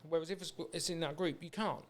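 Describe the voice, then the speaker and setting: A man's voice: a little high, steady tone, fast talker. A British man hosting a podcast in a casual, rambling, anecdotal way. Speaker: podcast host. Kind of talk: casual chat.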